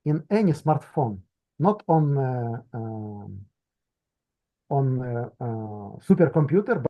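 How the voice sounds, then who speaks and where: A man speaking as a tech founder guest in an interview, halting with simple phrasing and pauses. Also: mid-range voice, muffled recording. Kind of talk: interview answers.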